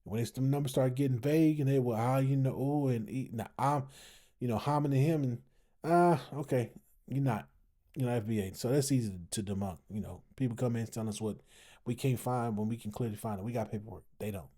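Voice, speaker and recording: A man's voice: mid-range voice, fairly quick, dark tone. A tired American man giving casual, opinionated commentary as a host, emphatic and repeating phrases for emphasis. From United States, family from Texas. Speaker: host commenting on an online discussion.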